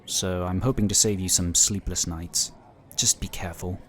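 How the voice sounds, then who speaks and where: A man playing a wry, cautious and protective inquisitor in a sci-fi audio drama, with measured, articulate delivery. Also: sits low, fairly even intonation, fairly bright tone, a little hiss or hum. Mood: concerned, cautioning.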